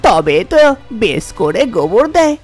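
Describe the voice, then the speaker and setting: A woman's voice: lowish voice, big pitch swings, moderate pace, darkish tone, slightly rough, faint music behind, pitched below the performer's own voice. A woman voicing a scheming middle-aged village mother-in-law in a cartoon tale, coaxing and insistent.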